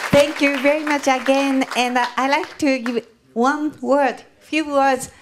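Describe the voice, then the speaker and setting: A woman's voice: high-pitched, steady tone, measured pace, a crowd in the background, noisy background. A woman with a Japanese accent giving a gracious thank-you speech at a gala, partly in her native language. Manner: gracious, warm thanks, small laughs. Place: banquet hall.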